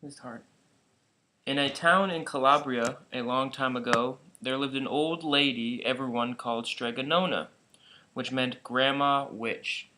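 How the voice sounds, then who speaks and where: A man reads a children's story aloud, plainly and a little haltingly. His voice sits at a middle pitch with a very bright, forceful tone. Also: steady tone, a little hiss or hum, poor audio. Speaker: storybook reader.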